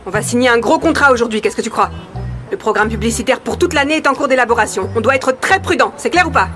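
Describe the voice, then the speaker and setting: An actress as a bossy agency manager in a dubbed soap. Her voice is fairly high and she fires out instructions quickly, with music clearly behind her. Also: lightly acted. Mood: impatient. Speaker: dubbing actor. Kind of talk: French-dubbed TV soap acting. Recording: music under the dialogue.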